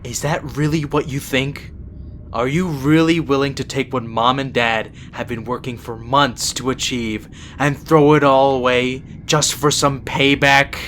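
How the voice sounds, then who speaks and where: A man's voice: medium pitch, flat delivery, a little slow, a bit bright, a little hiss or hum, close to an everyday voice. A man playing a principled, exasperated younger-brother vampire prince in an audio roleplay, speaking in an earnest, reproachful way. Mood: disbelieving, critical.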